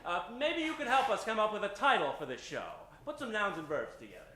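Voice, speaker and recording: A man's voice: sits high, wildly swooping pitch, quick pace, a crowd in the background. A man emceeing a live improv show on stage in a playful, theatrical manner. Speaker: improv show emcee taking audience suggestions. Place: stage before a live audience. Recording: roomy live stage recording.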